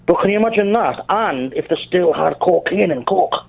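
A man's voice: high-pitched, animated, moderate pace, creaky, husky. A man calling in by phone, his slightly raised voice coming over a muffled, dulled line. His delivery moves up and down a lot as he chats and teases the host. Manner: chatty and teasing. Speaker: caller. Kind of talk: casual phone-in chat.

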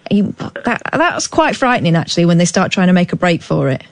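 A woman's voice: fairly low pitch, big pitch swings, a little fast, no echo. A middle-aged British woman chatting casually as a radio phone-in host, inquisitive and sympathetic with her callers. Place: radio studio.

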